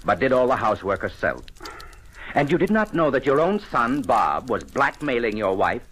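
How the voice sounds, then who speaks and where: An actor playing a shrewd, relentless police inspector, questioning deliberately and pointedly in 1940s radio detective style. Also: male voice, medium pitch, big pitch swings, fairly bright tone, slight background noise. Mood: accusing, sardonic.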